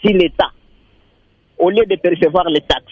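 A young man from Maniema calling in to a radio show, complaining in a halting way and repeating words. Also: high voice, husky, no echo, muffled recording. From Maniema, Congo. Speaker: radio caller. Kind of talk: call-in comment.

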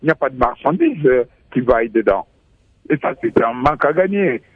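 Husky man on a thin phone line. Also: medium pitch, expressive, a little fast, dry recording. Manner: emphatic, stammers at starts. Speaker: professor, studio guest. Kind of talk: radio interview.

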